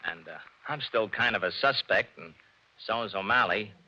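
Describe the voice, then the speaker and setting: Brief: needling tough-guy detective, 1940s radio drama, bright and lo-fi. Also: fairly low pitch, normal speed. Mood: brisk. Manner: cocky, needling. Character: wisecracking tough male detective.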